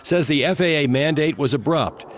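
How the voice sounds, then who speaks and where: A man's voice: fairly high pitch, animated, moderate pace, a little hiss or hum. An American man working as an investigative TV reporter, reading a scripted news report narration.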